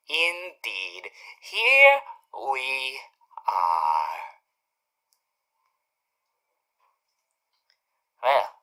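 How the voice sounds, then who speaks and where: A performer voicing a doting, teasing male radio demon in an ASMR roleplay, speaking in a theatrical, courtly way with drawn-out emphasis. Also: mid-range voice, bright, forceful tone, exaggerated voice, low-quality recording. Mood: tender, smug. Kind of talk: ASMR character roleplay to the listener.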